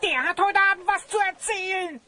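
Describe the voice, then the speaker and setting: A woman's voice: extremely high pitch, normal speed, bright, forceful tone, slight background noise. A woman playing a cheeky, plucky young sidekick in an audio drama, with a pert, exclamatory delivery. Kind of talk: German audio drama acting.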